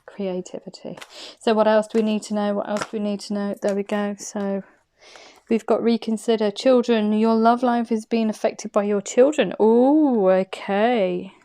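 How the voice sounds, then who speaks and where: A woman's voice: medium pitch, fairly even intonation, moderate pace, mellow. A woman giving an intuitive tarot reading, chatty and effusive, thinking aloud as she turns the cards. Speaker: tarot card reader.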